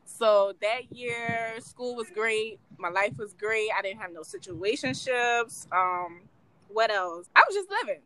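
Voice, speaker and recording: A woman in her twenties co-hosting a podcast, chatting casually and telling stories in a relaxed, drawn-out way. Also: on the high side, low-quality recording. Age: 20s. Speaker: podcast co-host. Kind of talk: casual chat.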